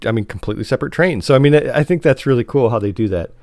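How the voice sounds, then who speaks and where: A man's voice: mid-range voice, lively intonation, rapid-fire, darkish tone, no room sound. Casual male podcast co-host, dry close sound. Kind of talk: casual chat about TV shows.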